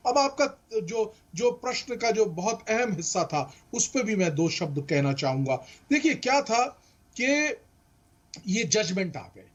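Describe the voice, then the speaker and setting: A man's voice: high-pitched, big pitch swings, a little slow, muffled recording. A man, explaining legal points emphatically as a panelist and mixing in English terms. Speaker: legal expert panelist on a debate show.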